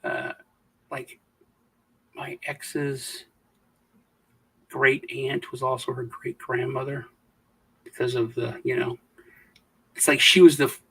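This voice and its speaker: Gravelly, husky man telling stories. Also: steady tone, normal speed, pauses often, fairly bright tone, rough recording. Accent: Southern American. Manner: storytelling, mimics voices with high-pitched bursts. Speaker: podcast co-host. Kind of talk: casual chat.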